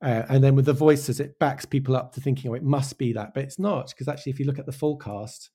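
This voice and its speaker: A man with an English accent, from Wales, giving casual, reflective interview answers as a show creator, often halting and restarting with real conviction. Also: medium pitch, steady tone, dark tone, husky. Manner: halting, self-interrupting, passionate about own work.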